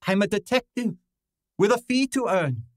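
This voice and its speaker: Man acting a pompous, high-pitched radio detective. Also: very animated, moderate pace, no echo, studio quality. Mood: stern, commanding. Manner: pompous, formal, ornate vocabulary, exasperated. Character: pompous, gruff, money-minded male detective, curt and imperious. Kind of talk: old-time radio drama acting.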